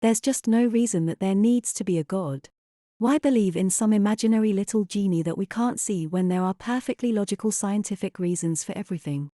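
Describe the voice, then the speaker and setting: A woman's voice: mid-range voice, fairly quick, warm tone, no echo, clean studio sound, lightly acted. A woman playing a skeptical, disillusioned young wife and mother in an audio drama, questioning and restrained.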